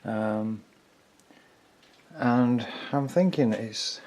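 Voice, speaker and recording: A man's voice: medium pitch, extremely slow, darkish tone, a little hiss or hum. A man giving casual hands-on repair commentary, informally thinking aloud in a tentative way as he works. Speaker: hobbyist repairer presenting own video.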